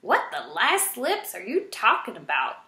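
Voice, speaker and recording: A woman voicing a gruff, crotchety old male porcupine in a children's storybook, blunt, indignant and exasperated. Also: fairly high pitch, wildly swooping pitch, a little slow, sharp tone, roomy sound, pitched above the performer's own voice, full character voice. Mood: bewildered. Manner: bellowing, grumbling, indignant.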